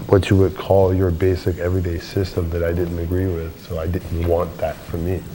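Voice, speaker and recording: A man in his twenties giving deliberate, philosophical answers as an interview guest. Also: sits low, flat delivery, warm tone, low-quality recording. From born in Jamaica. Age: 20s. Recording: faint background noise.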